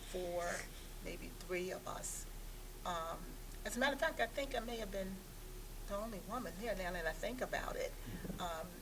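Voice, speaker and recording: A woman speaking reflectively as a panelist in a discussion, pausing often as she searches for words. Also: fairly low pitch. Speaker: panelist, game maker. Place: live event panel. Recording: distant microphone, clearly noisy room.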